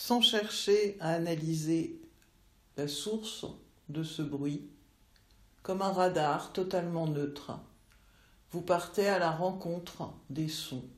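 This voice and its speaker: A woman teaching yoga nidra, guiding relaxation in measured instructional phrases with long pauses. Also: on the low side. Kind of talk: slow guided relaxation meditation. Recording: roomy, echoey voice recording.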